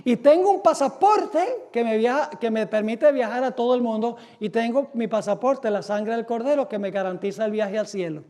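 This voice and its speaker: A pastor from the United States preaching a sermon, reading quotations slowly and firing off rhetorical questions that he answers himself. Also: extremely high pitch, dark tone. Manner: emphatic, asks rhetorical questions and answers them; reads quotations aloud slowly. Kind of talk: sermon lecture.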